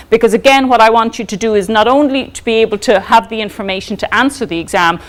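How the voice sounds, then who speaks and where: A woman with an Irish accent giving a law lecture to a class, talking loudly and informally, often checking in with "okay?". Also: mid-range voice. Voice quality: loud, carrying voice. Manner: chatty, checks in with frequent "okay?". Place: lecture room.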